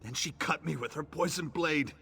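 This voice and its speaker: A man's voice: a little high, normal speed, vocal fry, pushed and bright, husky, full character voice. A man voicing a dying male merchant who is bitter and scheming, speaking in an accusing way, as AI-voiced game dialogue.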